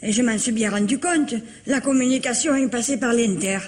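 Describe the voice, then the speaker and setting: A woman's voice: a little high, a little fast, a little mellow, close to an everyday voice. An actress playing an emphatic, easily flustered female witness in an old French radio crime drama. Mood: emphatic, certain.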